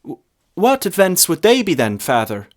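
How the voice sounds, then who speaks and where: A man's voice: sits high, huge pitch swings, moderate pace, voice pushed up. A man voicing a nervous, guilty young male parishioner in a story, stammering, earnest and panicky. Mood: puzzled. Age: young adult. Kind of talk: short story character reading.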